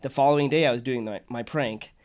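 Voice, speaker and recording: A man hosting a podcast, telling a personal story in casual, animated conversation. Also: medium pitch, fast. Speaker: podcast host.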